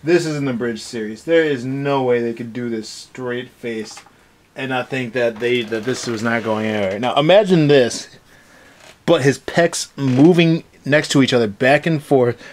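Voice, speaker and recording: A man's voice: mid-range voice, unhurried. A man co-hosting a casual anime review rant, talking in a rambling, sarcastic way. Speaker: co-host of an anime review show. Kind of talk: casual ranting review chat.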